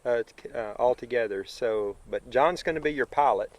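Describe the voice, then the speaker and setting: A man interviewing people on site, relaxed and hesitant with many fillers. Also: medium pitch, normal speed.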